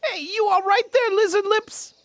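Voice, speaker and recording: Woman voicing a high-pitched wisecracking male rat robot. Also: moderate pace, slight background noise. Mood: concerned. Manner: teasing, slangy banter. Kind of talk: animated-series radio drama acting.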